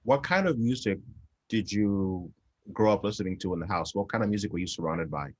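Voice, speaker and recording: A man with a fairly low voice hosts an interview, keeping his questions short and direct. He speaks plainly and lets his guest do most of the talking. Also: lively intonation, fairly quick. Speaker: interviewer, podcast host.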